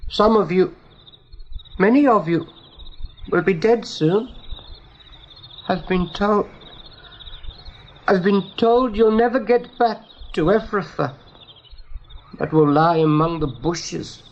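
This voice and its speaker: An actor playing a thin, undersized male rabbit seer in a radio play, prophesying in a slow, repetitive, ominous way. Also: high-pitched. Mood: solemn, pitying. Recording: dull noisy 1980s radio recording.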